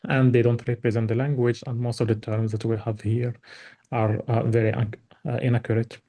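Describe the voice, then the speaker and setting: A man's voice: medium pitch, little pitch movement, a little fast, dark tone. A man from Morocco whose first language is Tarifit, giving an invited academic talk on slides, with lots of "like" fillers and restarts. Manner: many fillers and restarts, heavy use of "like". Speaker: invited speaker.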